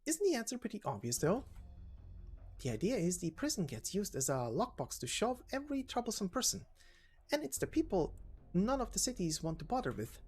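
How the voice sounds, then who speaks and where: A man's voice: sits high, wildly swooping pitch, fairly quick, a little mellow, faint music behind. A man voicing a chatty, breezy, teasing informant in a game story reading, speaking casually with rhetorical questions. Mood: breezy, explanatory.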